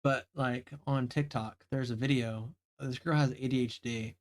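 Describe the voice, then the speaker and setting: A man's voice: medium pitch, fairly even intonation, moderate pace. A man with a light Southern accent, chatting rambly on a live game stream. He restarts his sentences, laughs often and swears casually. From Southern United States. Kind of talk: casual live-stream chat, reading game dialogue aloud.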